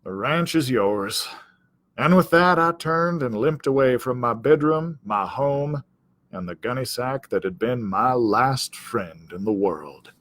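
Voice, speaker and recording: A man narrating a children's story aloud as an expressive storyteller. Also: mid-range voice, measured pace. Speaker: library storyteller reading aloud.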